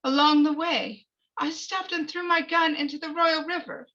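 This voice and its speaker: A woman playing a bitter, measured man testifying at his murder trial in a dramatic screenplay reading, on a lo-fi recording. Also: sits high, steady tone, bright, forceful tone, voice pushed up. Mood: firm, defensive. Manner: measured, defensive. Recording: dull, lo-fi recording.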